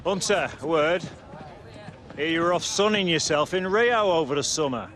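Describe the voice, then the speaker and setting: An actor playing a stern, demanding football manager in a video game cutscene, commanding and dryly needling. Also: male voice, a little high, expressive, normal speed, a bit bright. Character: stern demanding male football manager addressing his squad.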